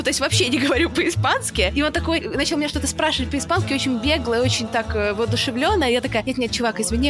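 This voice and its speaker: Fast-talking young woman podcast host. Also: fairly high pitch. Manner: animated, self-ironic storyteller. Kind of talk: casual storytelling chat.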